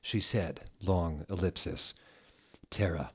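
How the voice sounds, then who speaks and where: A man with a low voice reads fan fiction aloud as a theatrical narrator. He takes his time over the words, delivering them slowly and deliberately with mock-serious drama. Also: husky.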